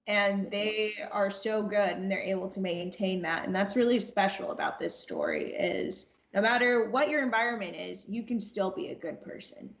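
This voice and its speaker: A woman's voice: medium pitch, big pitch swings, moderate pace, few pauses. A woman chatting as a podcast guest, making her points emphatically. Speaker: podcast guest, audiobook cast member. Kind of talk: casual discussion. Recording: echoey room, muffled audio.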